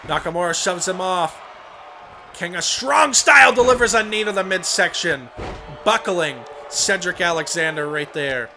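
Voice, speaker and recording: A young man with a hoarse voice from a cold, commentating a wrestling video game play-by-play and rising to shouts on big moves. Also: high-pitched, expressive, normal speed, faint music behind. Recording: home recording with clear background noise.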